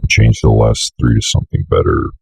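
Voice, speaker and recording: Very deep, gravelly man talking through software. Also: fairly even intonation, fairly quick, no room sound. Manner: rambling, thinking aloud, mildly profane. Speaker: tutorial presenter. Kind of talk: casual screen-share software walkthrough. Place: indoors at a computer desk.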